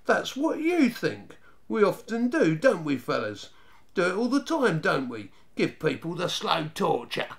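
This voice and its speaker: A man voicing a bossy, rough boy who leads a gang in a children's storybook, with a scornful manner and rough street grammar. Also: sits high, wildly swooping pitch, measured pace, voice pushed up, full character voice. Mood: sneering. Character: eldest Snarget, bossy sneering rough boy gang leader.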